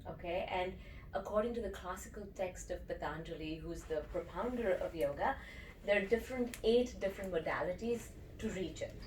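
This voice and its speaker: Low-pitched woman from India, eager teacher guest, heard from a distance in an echoey room. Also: normal speed, a little mellow, slight background noise. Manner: enthusiastic, explanatory, often checks in with "okay?". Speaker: breathwork and yoga teacher. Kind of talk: interview guest.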